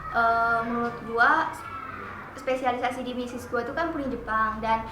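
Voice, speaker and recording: Young girl, higher voice, off-mic, roomy noisy space. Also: moderate pace. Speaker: interviewee, student. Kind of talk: casual interview answers. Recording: distant echoey room, noisy background.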